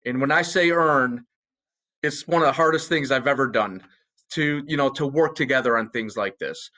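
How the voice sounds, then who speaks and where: A man's voice: medium pitch, normal speed, pushed and bright. An American man, a military panelist, sharing informal personal remarks in an American accent.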